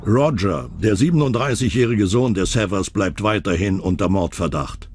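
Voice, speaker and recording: A man's voice: lowish voice, lively intonation, moderate pace, a little mellow. A man reads scripted documentary narration as the narrator of a crime series.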